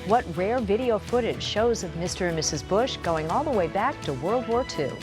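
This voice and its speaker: A woman's voice: medium pitch, lively intonation, normal speed, music in the background. A woman reading a scripted tease for upcoming news stories. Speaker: news promo announcer.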